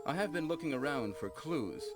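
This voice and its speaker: An actor playing a calm, composed male team captain in a game, speaking formally. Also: fairly high pitch, natural voice. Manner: measured, formal. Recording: game audio with music behind.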